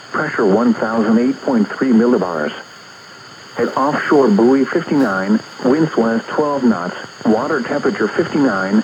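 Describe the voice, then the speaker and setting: A low-pitched American male announcer with a synthetic, measured delivery comes through a muffled radio with clear noise. He reads weather bulletin copy formally, item by item, as an automated service would. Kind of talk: scripted weather observation bulletin.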